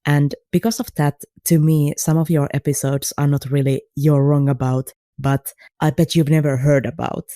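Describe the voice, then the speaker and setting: A woman with a Finnish accent reads her prepared listener message in an earnest, measured way. Her tone is dark and mellow. The sound is close and dry, with no room around it. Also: sits low, steady tone, no room sound. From Finland.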